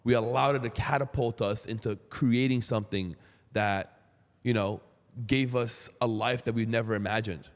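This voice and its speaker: A man's voice: medium pitch, steady tone, normal speed. A man in his thirties from Brazil who grew up in Queens, hosting a casual podcast interview. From Brazil, moved to Queens, New York at seven. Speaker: podcast host. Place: indoor podcast studio. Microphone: close boom-arm studio microphone. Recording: roomy studio with long reverb.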